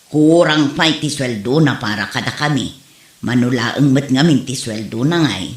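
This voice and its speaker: A man's voice: medium pitch, lively intonation, normal speed, natural voice. A man playing a stingy, nagging wife and mother who complains and scolds, in an amateur comedy drama. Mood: complaining. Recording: roomy, echoing room sound.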